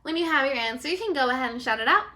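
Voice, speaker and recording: A woman teaching first graders through a read-aloud lesson. Her voice is fairly high and bright, and she is expressive, addressing the pupils directly. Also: very fast.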